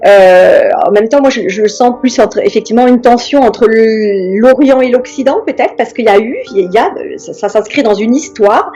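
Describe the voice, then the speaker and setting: A middle-aged woman from France, speaking as an interview guest in a reflective, hesitant way with many pauses and restarts. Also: on the high side, mellow, slightly rough, faint music behind.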